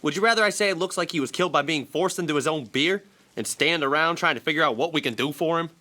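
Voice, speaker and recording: Man acting bright, sardonic, cocky gang boss. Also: fairly high pitch, fast. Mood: wry. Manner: sardonic, taunting. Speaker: actor in an audio drama. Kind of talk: theatrical period murder-mystery acting.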